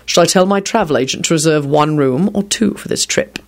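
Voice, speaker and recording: A woman's voice: low voice, fairly quick. A woman voicing an impatient, sarcastic man in an audiobook, exasperated and barking his demands. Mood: casual.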